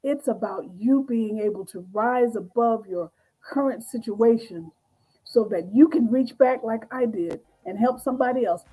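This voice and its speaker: A woman's voice: on the high side, normal speed, dark tone, husky, low-quality recording. A woman in her sixties, a guest author and business coach, giving a fervent, rallying motivational talk. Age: 60s. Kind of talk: motivational speaking.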